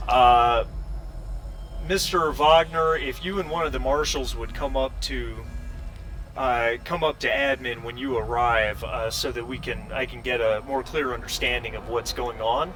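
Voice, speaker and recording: A man playing a space station administrator in a tabletop game, businesslike and questioning, with halting phrasing. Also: mid-range voice, steady tone, fairly bright tone, a little hiss or hum, natural voice, rough recording. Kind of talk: tabletop game role-play.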